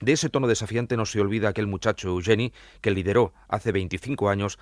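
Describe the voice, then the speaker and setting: A man's voice: on the low side, fast, dry recording, clean studio sound. A man presenting a true-crime podcast with scripted documentary narration.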